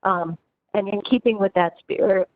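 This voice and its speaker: A woman's voice: mid-range voice, fairly even intonation, moderate pace. A woman university administrator speaking in an online meeting, reassuring and repetitive with many fillers, her throat scratchy. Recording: dry tinny phone-like audio.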